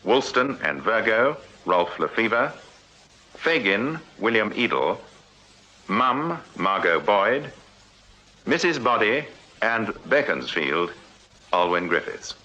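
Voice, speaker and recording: A man's voice: on the low side, very animated, takes it slowly, long pauses, sharp tone. A man with a British accent reading scripted credits as a radio announcer in a formal broadcast style. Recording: dull, lo-fi radio recording.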